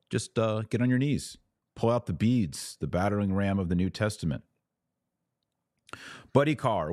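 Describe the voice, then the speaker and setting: A man's voice: low voice, a little mellow, dry recording, studio quality. A man hosting a live podcast, talking casually and directly to his listeners as he takes their comments. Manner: conversational, direct, urging listeners. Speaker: podcast host.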